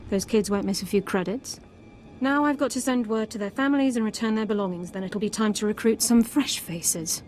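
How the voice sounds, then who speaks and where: An actress playing a tough, world-weary squad commander in a video game, blunt and matter-of-fact. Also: female voice, medium pitch, fairly quick, a little mellow, soft background music, natural voice. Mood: resigned. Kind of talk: video game drama acting.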